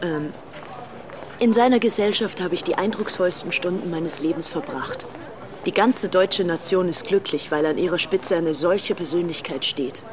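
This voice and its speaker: A woman's voice: lowish voice, normal speed, noisy background, natural voice. An actress playing a self-assured young upper-class British woman, poised and devoted to her idol, in a radio drama. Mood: admiring, earnest. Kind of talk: acted radio drama dialogue.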